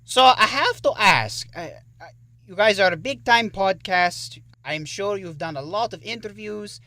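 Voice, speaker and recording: A man's voice: high voice, animated, unhurried, sharp tone, exaggerated voice. A man voicing a campy vampire count who hosts a horror show and interviews in a grandiose, formal manner. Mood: curious, polite. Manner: theatrical, grandiose, formal wording. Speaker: interviewer and host. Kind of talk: theatrical horror-host introduction and interview.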